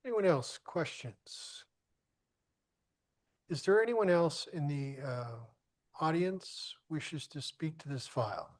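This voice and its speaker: Mayor guy running a council meeting, kinda high voice, stops and restarts a lot, polite. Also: lively intonation. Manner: halting, searching for words, polite. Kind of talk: chairing a public meeting. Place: council chamber.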